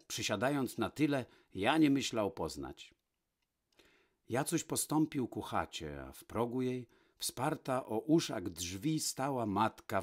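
Low-voiced man reading a fairy tale. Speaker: storyteller, narrator. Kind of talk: scripted fairy-tale narration, read aloud.

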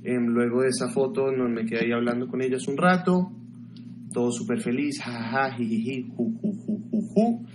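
A man's voice: medium pitch, moderate pace, background noise. A young man from Ecuador chatting casually with live viewers from his bedroom, gossiping and answering their questions into a webcam mic. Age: young adult. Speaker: livestreamer. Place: small bedroom, indoors. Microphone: webcam mic at desk.

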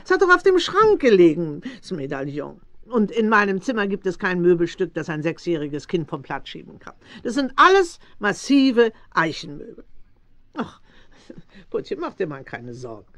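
Casting: plaintive country housewife, motherly and fretful, lowish voice, big melodic swings, radio drama. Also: big pitch swings, normal speed, fairly bright tone. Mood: fussing, motherly. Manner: plaintive, fussing, emotional. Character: distressed motherly farmer's wife, fretful but hospitable. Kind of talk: German radio play acting for young listeners.

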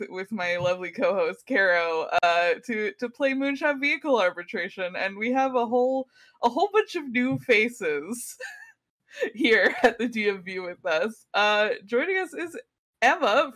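A woman's voice: mid-range voice, expressive, measured pace, a bit bright. A woman co-hosting a casual livestream game show, laughing often between phrases.

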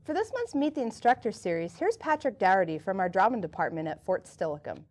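A woman's voice: mid-range voice, very animated, fast talker, darkish tone. A woman hosting a college TV show, introducing segments from a script.

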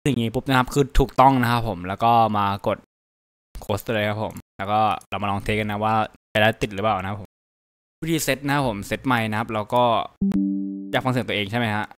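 Guy, lowish voice, casual pc how-to, polite particle after every phrase. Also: fast, a bit bright, clean tone, faint music behind. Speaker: tutorial host. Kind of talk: casual screen-recorded software tutorial. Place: indoors at a computer, voice over screen capture. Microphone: computer microphone.

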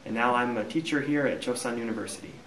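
A man in his thirties from Kansas with an American accent, a university teacher answering interview questions in a relaxed, plain-spoken way. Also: mid-range voice, quick pace, echoey room, far from the mic, low-quality recording. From United States, Kansas. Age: 30s.

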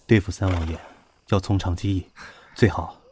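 A man plays a grave, dutiful retainer in a costume radio play. His voice is lowish and dark, solemn and restrained, with faint noise behind it. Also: steady tone, normal speed, natural voice. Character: grave, dutiful male retainer hiding hatred for his prince. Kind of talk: Chinese costume audio drama acting.

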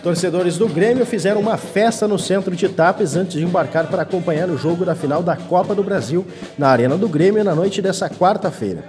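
A man's voice: sits high, fairly quick, few pauses, music in the background. A man reading scripted news reports in voice-over. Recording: long reverb on voice-over.

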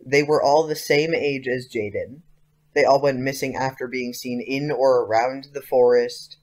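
An actor playing an earnest, persistent teenage schoolboy with casual, youthful phrasing in an audio drama. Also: male voice, medium pitch, steady tone, normal speed, lightly acted. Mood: earnest, insistent.

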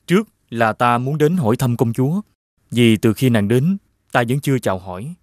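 A man's voice: mid-range voice, expressive, moderate pace, no room sound, clean studio sound, natural voice. A man voicing a noble, earnest young prince in a fairy-tale cartoon. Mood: courteous, firm.

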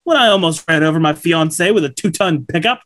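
A man's voice: fairly high pitch, animated, a little fast, a bit bright. A man in his thirties playing a slick, upbeat corporate representative lecturing schoolboys at a script table read. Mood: earnest, persuasive. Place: indoors at home on a video call.

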